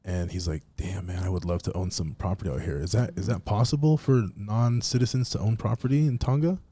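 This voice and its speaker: A man hosting a podcast, chatting in a relaxed, casual way with frequent restarts. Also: on the low side, a little fast, husky, no echo. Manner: relaxed, repeats words and restarts. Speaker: podcast host. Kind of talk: casual interview chat.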